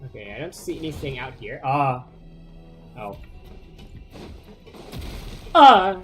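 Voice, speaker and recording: A man streaming a game in casual commentary, with a lively, bouncing pitch and quick self-mocking remarks. He trails off and stumbles over repeated words as he reacts, over faint music behind.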